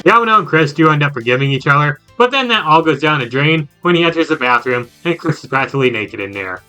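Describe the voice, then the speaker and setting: A man's voice: fairly high pitch, animated, fast talker, sharp tone, soft background music. A man giving a casual, scripted recap of a video game in a jokey, slangy way with exaggerated reactions.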